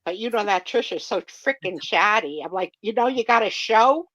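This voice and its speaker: A woman's voice: mid-range voice, moderate pace, dry recording, dull audio. A woman hosting a casual live chat stream, joking and teasing her co-hosts. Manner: playful teasing, lively delivery.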